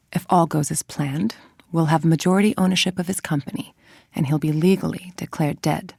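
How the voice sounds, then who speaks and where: Game villainess, scientist. Deep female voice, dry close recording, icy, precise and clinical delivery. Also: vocal fry, a little mellow, husky, no echo, exaggerated voice. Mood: cold, ruthless. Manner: clinical, articulate, controlled, formal. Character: cold, calculating villainous scientist woman running a research group. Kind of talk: scripted game voice-over, audio log monologue.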